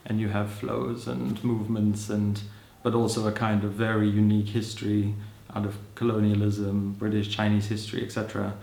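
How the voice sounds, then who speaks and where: A man in his thirties who grew up in Hong Kong, speaking as an interviewee in a thoughtful, musing way, often adding "you know" and soft laughs. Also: on the low side. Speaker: interviewee, social anthropologist. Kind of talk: reflective personal account. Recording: roomy echo.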